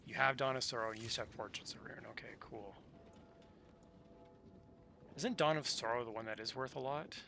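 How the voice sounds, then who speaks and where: Male streamer, mid-pitched, loose rambling chat with game music clearly underneath. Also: fairly quick, pauses often, pushed and bright. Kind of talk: casual chat during livestream. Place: indoors, game audio and music behind.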